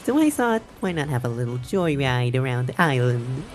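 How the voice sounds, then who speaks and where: Lively, teasing young love interest. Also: female voice, very deep voice, normal speed, slight background noise. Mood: proud, excited. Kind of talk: visual novel game acting.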